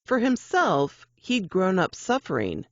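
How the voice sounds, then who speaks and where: A woman's voice: mid-range voice, wildly swooping pitch, measured pace, dry recording. A woman narrates fiction with suspense and expression. Her intonation swings widely from line to line. The sound is dry, with a slightly muffled, lo-fi finish. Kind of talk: dramatic audiobook-style narration.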